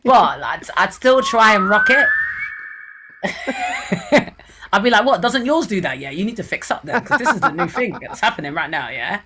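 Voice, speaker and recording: Lively laughing woman with a London accent. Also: mid-range voice, moderate pace, very noisy. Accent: London English. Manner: laughing, lively storytelling with quoted voices. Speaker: guest singer-songwriter. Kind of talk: casual interview chat.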